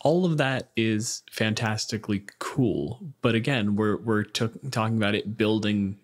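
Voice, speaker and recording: A man's voice: lowish voice, normal speed. A man talking casually on a tabletop gaming panel, explaining and arguing his points in an informal way. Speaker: podcast panelist.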